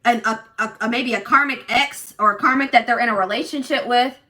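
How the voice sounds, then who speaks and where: A woman doing a casual tarot reading for her viewers, talking in an assertive, loud way with lots of restarts and "like". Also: a little high, fairly quick. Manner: animated, many restarts and fillers. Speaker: tarot reader.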